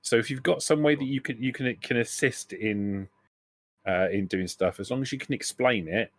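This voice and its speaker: A man's voice: medium pitch, moderate pace. A man acting as game master, explaining rules and narrating a tabletop roleplaying game in a hesitant, explanatory way.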